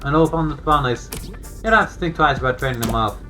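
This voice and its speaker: An actor voicing a brash, teasing young joker in a video game, animated and full of slangy exclamations. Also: medium pitch, fast talker, lightly acted. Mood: breezy. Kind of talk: voiced visual novel dialogue. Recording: game audio with music behind.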